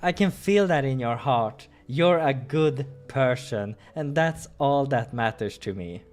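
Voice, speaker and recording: A man's voice: mid-range voice, expressive, unhurried, a little mellow, natural voice. A kindhearted young kitten hero voiced by a man from a visual novel. He speaks earnestly and pleadingly, with music playing underneath. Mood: tender.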